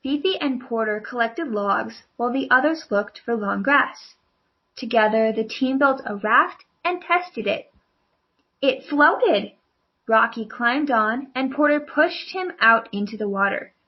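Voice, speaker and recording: A woman's voice: on the high side, animated, a little slow, muffled recording. A woman narrating a children's storybook as a scripted read-along.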